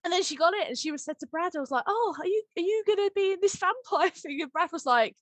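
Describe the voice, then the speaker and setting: A woman's voice: extremely high pitch, animated, quick pace, no echo. A woman hosting a casual, jokey group chat about audio drama, a little short of breath as she talks. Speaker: podcast host and audio drama writer.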